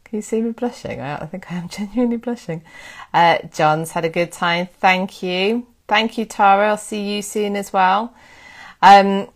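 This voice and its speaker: A woman hosting a casual history live stream, chatty and excitable, thanking viewers by name. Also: medium pitch, normal speed. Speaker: history livestream host.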